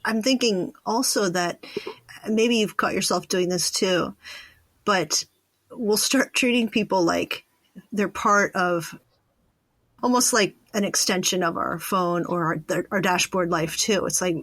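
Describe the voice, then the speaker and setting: A woman's voice: medium pitch, measured pace, fairly bright tone, no room sound, rough recording. A woman hosting a podcast in a casual, reflective conversation, speaking informally and thinking aloud from personal experience. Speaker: podcast host.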